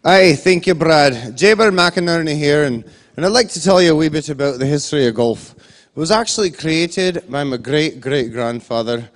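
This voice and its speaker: A man's voice: fairly high pitch, normal speed, natural voice. A man doing a ranting Scottish golf legend. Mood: rambling. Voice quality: rising, excitable ranting. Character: boastful old Scottish golf legend, male. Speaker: comic golf analyst. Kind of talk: comedy sketch storytelling.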